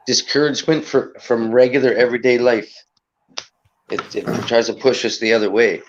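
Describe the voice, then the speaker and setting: A man with a raspy voice talks in a loose, rambling way, sliding into earnest advice about respect and inner energy. His voice sits at an ordinary middle pitch. The sound is dull and lo-fi. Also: fairly even intonation, moderate pace, a little hiss or hum. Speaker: livestream host. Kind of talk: casual livestream chat.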